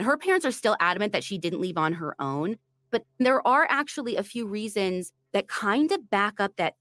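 A woman's voice: lowish voice, a bit bright. A woman hosting a true crime podcast, retelling a case in a chatty, storytelling way. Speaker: podcast host.

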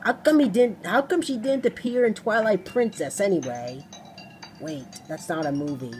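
A woman's voice: medium pitch, lively intonation, normal speed, a little mellow. A woman doing playful read-aloud video game commentary in a theatrical, sarcastic manner. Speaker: let's play host. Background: video game music.

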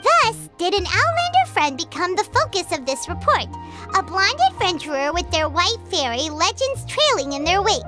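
A woman voicing a tiny, naive fairy companion in a video game, squeaky, childlike and cutesy. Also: sing-song, normal speed. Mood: reading aloud. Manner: cutesy, refers to herself by name. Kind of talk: English video game dialogue acting. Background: game music.

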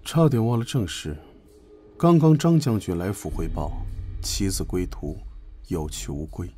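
A man acting a sly, sardonic imperial court official in a Chinese period audio drama, measured and insinuatingly polite. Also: deep voice, sing-song, mellow, breathy edge, soft background music, full character voice. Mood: sly politeness.

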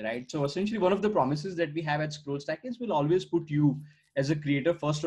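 A man in his thirties speaks with a slightly raised pitch, talking through a screen-shared demo on a video call. He keeps it conversational, full of "uh" and "right?" tags. His is Gujarati, and it shows. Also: a little mellow, husky. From India. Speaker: startup founder giving a product demo. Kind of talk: screen-share product walkthrough. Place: indoor room on a video call. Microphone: video call webcam mic.

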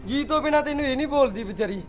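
A man's voice: very high voice, rapid-fire, soft background music. A man playing a young husband urging his mother to stop mistreating his wife. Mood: reproachful. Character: young husband and son, peacemaker.

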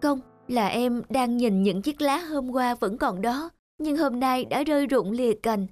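Ailing young cartoon woman: high-pitched, plaintive and despondent, with music behind her. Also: animated, measured pace, a little mellow, dry recording. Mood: resigned, sad. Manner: plaintive, melancholy. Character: despondent young woman, a seriously ill patient. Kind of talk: cartoon drama dubbing.

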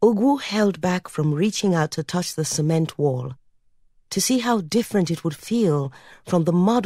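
Husky, low-pitched woman narrating a novel. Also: warm tone, dry recording, clean studio sound. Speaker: audiobook narrator. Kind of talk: scripted literary narration.

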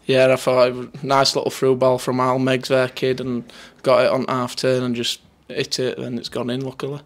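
A man's voice: mid-range voice, monotone, normal speed. A man in his twenties with a Northern English accent, giving a casual, matter-of-fact post-match interview as a player. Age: 20s. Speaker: footballer being interviewed after a match. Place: indoors in front of a sponsor board. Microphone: handheld interview microphone held near him.

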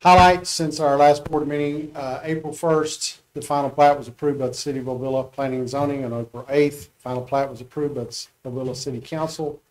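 A man's voice: a little high, fairly even intonation, fairly quick, few pauses, a little mellow, poor audio. A man presenting a construction update as a school district official. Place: school board meeting room.

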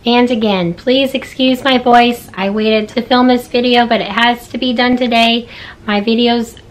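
Hoarse woman in casual chat. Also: a little high, steady tone, measured pace, low-quality recording. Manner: chatty, upbeat, showing items. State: hoarse, losing her voice. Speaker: vlogger. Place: wooden log home bedroom.

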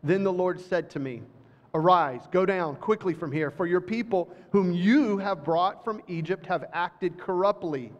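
A middle-aged man preaching to a congregation, reading scripture slowly and then talking loosely with fillers and restarts. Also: sits high. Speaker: pastor. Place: church hall with audience. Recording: dull, reverberant hall recording.

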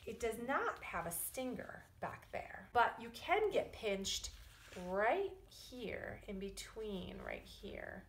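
A middle-aged woman, a preschool teacher, explaining and reading aloud to young children in a deliberate, emphatic, teacherly way. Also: fairly high pitch, very animated, slight background noise. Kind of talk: children's science lesson and read-aloud story. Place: indoors in a wood-panelled room at home or school. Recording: echoey room.

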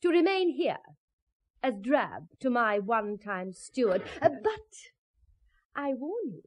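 High voice, haughty lady of the manor, mocking, imperious, radio play delivery. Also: very animated, slow, full character voice. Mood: gleeful mockery. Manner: sneering, imperious. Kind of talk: 1970s radio drama acting.

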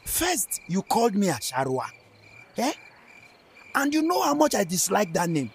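A man with a Northern Nigerian accent, playing a boastful, touchy village elder who talks in an animated, exclamatory way in a radio drama. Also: high-pitched, moderate pace, a little hiss or hum, exaggerated voice. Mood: defensive. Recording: busy market crowd behind. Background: market crowd chatter.

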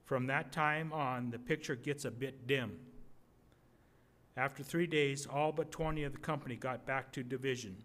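An older man reading aloud at a podium as an earnest, steady soldier writing letters home in wartime. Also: mid-range voice, moderate pace, close to an everyday voice. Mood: grave, steady. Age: 60s or older. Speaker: readers theater reader. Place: indoor museum gallery at a podium. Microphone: podium microphone.

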